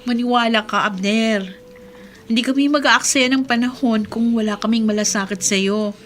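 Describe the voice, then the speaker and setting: A woman's voice: a little high, normal speed, fairly bright tone, natural voice. An actress playing a caring female office worker, earnest and polite, in a radio drama. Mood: sincere. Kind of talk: Tagalog radio drama acting. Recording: radio drama with background noise.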